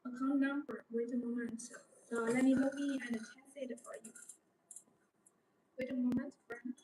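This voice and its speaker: A woman's voice: high voice, fairly even intonation, moderate pace, warm tone. A young woman, a non-native English speaker, giving a live product sales demonstration in simple, choppy phrasing. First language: not English. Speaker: live-stream sales presenter. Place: small showroom studio set, indoors. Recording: roomy, distant mic with background noise.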